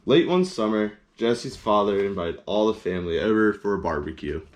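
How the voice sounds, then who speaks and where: A man's voice: lowish voice, lively intonation, moderate pace. A man reading children's picture books aloud as a storyteller, in simple language with short explanatory asides.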